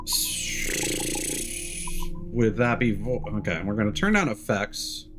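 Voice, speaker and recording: A man's voice: on the low side, very animated, takes it slowly, music in the background. A man chatting casually as a live streamer while he plays a game. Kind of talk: casual chat over gameplay.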